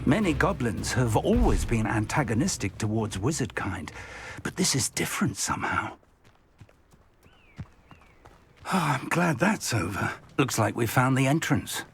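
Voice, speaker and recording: A male actor playing a kindly older wizard professor in a video game, thoughtful and formal, with a husky voice. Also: medium pitch, very animated, moderate pace, a little hiss or hum. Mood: thoughtful, relieved. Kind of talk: video game character dialogue.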